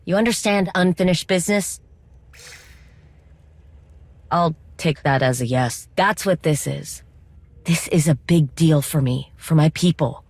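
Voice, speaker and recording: An actress playing a determined, wry droid mechanic in a video game, speaking informally with coaxing, teasing encouragement. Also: female voice, sits low, normal speed, a little mellow, husky, no echo. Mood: earnest, determined.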